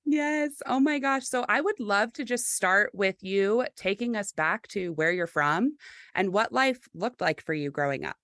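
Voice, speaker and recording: A woman's voice: mid-range voice, expressive, unhurried, a bit bright, no room sound, studio quality. A young adult woman hosting a podcast, reading an intro and interviewing a guest in an encouraging, gushing manner. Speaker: podcast host. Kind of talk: scripted intro then casual interview.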